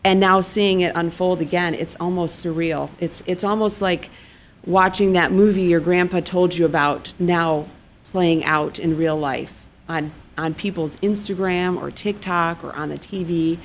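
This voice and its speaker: Woman guest, low-ish voice, halting and sincere, faint noise behind. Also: steady tone. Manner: earnest, halting, many fillers. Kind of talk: interview answers.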